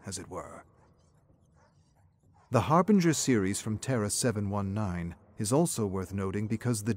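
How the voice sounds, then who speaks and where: A man's voice: fairly low pitch, darkish tone. A man reading scripted, dramatic fiction lore as a narrator.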